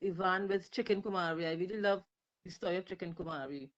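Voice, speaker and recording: A woman's voice: on the low side, fast talker, dull audio. A woman from Trinidad and Tobago, commenting emphatically in a video call discussion and repeating words for stress. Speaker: panel audience member.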